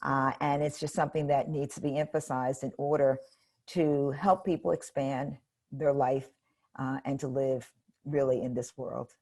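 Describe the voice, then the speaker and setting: An older woman, a retired educator, answers questions thoughtfully and in an earnest way. Her voice is notably low for a woman. She speaks in a measured, steady manner. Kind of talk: interview answers.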